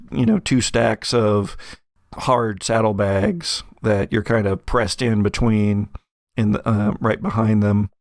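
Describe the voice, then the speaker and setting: A man improvising narration as game master of a tabletop roleplaying game, in a halting, conversational, descriptive way. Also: lowish voice, dry recording, clean studio sound.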